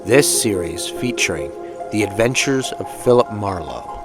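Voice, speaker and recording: Male presenter: scripted intro, a touch low, clear music bed behind. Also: lively intonation, unhurried. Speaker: host.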